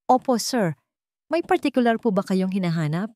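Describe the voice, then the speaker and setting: A woman's voice: mid-range voice, lively intonation, normal speed, warm tone, dry recording, clean studio sound, voice pushed up, close to an everyday voice. A woman voicing a loyal male investigator who reports respectfully and hesitantly to his boss in an audio romance drama. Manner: deferential, hesitant.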